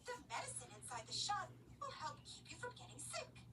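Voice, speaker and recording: A reassuring lady doctor from a picture book, played by a woman reader. Her voice is very high and lilting as she explains things gently. The recording is distant, with clear background noise. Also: very animated, moderate pace. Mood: gentle, reassuring. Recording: noisy, distant home microphone.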